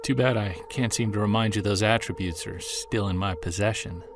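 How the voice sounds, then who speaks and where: An actor playing a wistful separated man who hunts Bigfoot, narrating his audio-drama field journal in a creaky, conversational, earnest voice. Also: on the low side, moderate pace, background noise, natural voice. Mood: resigned, teasing.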